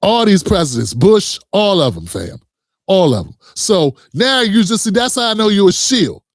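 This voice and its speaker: Heated high-pitched guy ranting to his viewers, swearing a lot, dry mic. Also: sing-song, moderate pace, no echo. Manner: heated, emphatic, profane ranting; addresses viewers directly. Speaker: commentary host. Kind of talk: reaction commentary.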